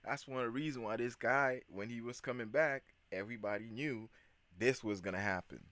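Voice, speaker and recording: A man's voice: mid-range voice, fairly quick, fairly bright tone, dry recording. A man hosting his channel, casually reacting to a video in a hyped, slangy way and repeating himself.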